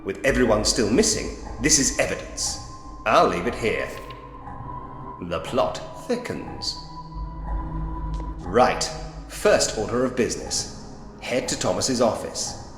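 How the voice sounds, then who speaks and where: An actor playing an inquisitive, wryly humorous investigator in a Victorian ghost story adventure game, musing to himself theatrically. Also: male voice, fairly low pitch, lively intonation, slow pace, pauses often, fairly bright tone. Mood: intrigued, resolute. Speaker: player character in a point-and-click adventure game. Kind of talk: scripted game voice acting, first-person observations. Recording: echoey hall reverb, music underneath.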